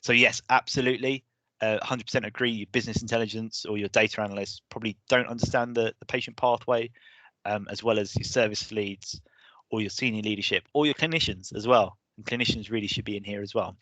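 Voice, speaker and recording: A man presenting an online course session, hesitant with many restarts, his voice going hoarse. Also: on the low side, steady tone, quick pace, sharp tone, no echo, rough recording. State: losing his voice. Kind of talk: online webinar lecture.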